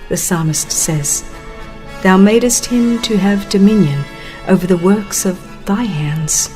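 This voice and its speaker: A woman reading a religious book as a dramatized audiobook narrator, in a solemn, measured and expressive manner. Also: medium pitch, soft background music.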